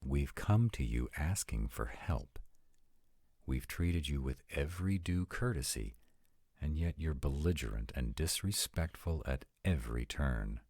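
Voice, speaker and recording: An extremely low-voiced, urbane vampire acted by a man for a fantasy audio roleplay. He speaks formally and unhurriedly, with wide swoops of intonation and a gravelly rasp. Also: animated, a little mellow, no echo, studio quality, heavily acted. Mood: stern, formal. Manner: formal, measured, articulate. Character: arrogant, urbane male vampires, courteous and formal.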